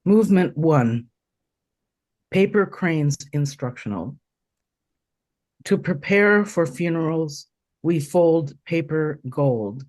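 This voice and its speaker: A woman from Taiwan, hoarse from a cold, reading her poem slowly and deliberately over a video call. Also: deep voice, expressive, darkish tone, no room sound. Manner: slow, deliberate recitation with pauses. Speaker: poet reading her work. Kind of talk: poetry reading.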